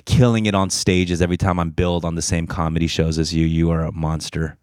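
Podcast host dude, low voice, chill and jokey, dry close sound. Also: male voice, level tone, brisk, darkish tone, smooth, no echo. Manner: laid-back, joking, lots of laughs. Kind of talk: casual chat.

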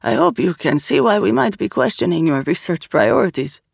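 A man acts out a polite senior cleric running out of patience in an audiobook. The voice is high for a man and creaky, with a courteous but edged delivery. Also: sing-song, moderate pace, no room sound, clean studio sound, exaggerated voice. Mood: impatient, polite. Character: politely impatient male senior church official. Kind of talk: audiobook character dialogue.

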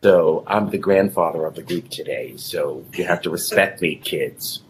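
An older man with a very low, deep voice, guesting on a talk show. He jokes around in a playful, easygoing way. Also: moderate pace, husky, poor audio. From New York City. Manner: joking, playful. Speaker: guest actor.

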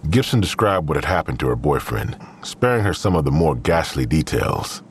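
Male narrator, bass register, grave literary storytelling for scripted drama, expressive intonation. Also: moderate pace, slight background noise.

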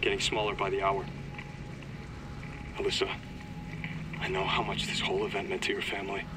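An actor playing an earnest, pleading protector in an audio drama, insistent and persuasive. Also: male voice, deep voice, monotone, a little fast, natural voice. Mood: urgent. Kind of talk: dramatic audio fiction acting. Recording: distant voice under loud vehicle noise.

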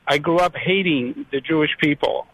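A male caller from Iran with a fairly high voice, pouring out thanks in an excited, effusive rush. The sound is dry and close. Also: normal speed, slightly rough, dry recording, narrow, tinny audio. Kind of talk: call-in radio conversation.

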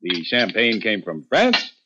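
Male, excitable American husband and new father, chattering and fussy, lively inflection, dull vintage radio sound. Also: lowish voice, measured pace, exaggerated voice. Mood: excited. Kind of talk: 1950s radio drama acting. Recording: muffled, noisy 1950s radio recording.

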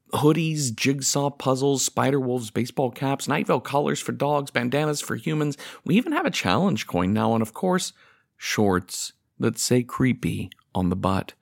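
A man's voice: mid-range voice, gravelly. A man reading scripted podcast promos and sponsor spots in a conversational, wry way.

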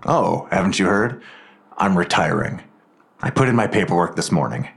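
An actor playing a curt, guarded, world-weary lawman in an audio drama, with blunt, terse delivery and a gravelly voice. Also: sits low, animated, moderate pace, dry recording, full character voice. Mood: sardonic. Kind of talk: full-cast audio drama acting.

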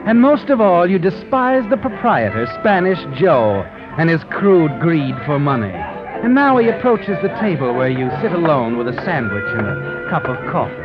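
Taunting radio mystery narrator, lively swooping intonation, highish voice, muffled vintage sound. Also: male voice, normal speed. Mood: sardonic. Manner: dramatic second-person taunting. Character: sardonic, needling male narrator of crime tales. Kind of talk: 1940s radio suspense narration. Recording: muffled old radio recording over music.

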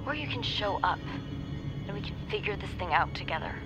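A woman's voice: mid-range voice, sing-song, fairly quick. A woman acting a defiant, sarcastic young woman in a naturalistic audio drama, heard through a phone. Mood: defiant, biting. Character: defiant, blunt, sarcastic young woman who takes charge. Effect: phone call filter. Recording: muffled phone line under music.

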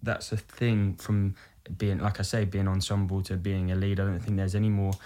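A man in his thirties with a fairly low voice, chatting candidly as a podcast guest. He is earnest and reflective, often quoting his own thoughts as he goes. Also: flat delivery, normal speed, dark tone. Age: 30s. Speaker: musical theatre actor, podcast guest. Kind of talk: candid interview chat.

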